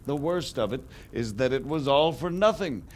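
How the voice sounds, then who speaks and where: An actor playing a scheming male noble in a video game cutscene, measured and persuasive, at times urgent. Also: medium pitch, lively intonation, a little hiss or hum, close to an everyday voice. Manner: measured, persuasive, rising to anger. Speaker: nobleman margrave.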